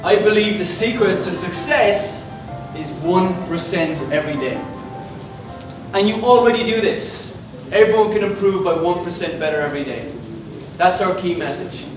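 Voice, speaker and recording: A man giving a motivational workshop speech in an emphatic, earnest manner, deliberately repeating key lines. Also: sits high, low-quality recording. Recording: music behind the voice.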